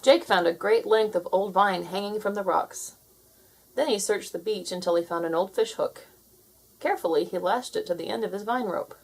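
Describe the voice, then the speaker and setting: A woman reading a children's story aloud as an expressive storyteller, in scripted narration. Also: mid-range voice, normal speed.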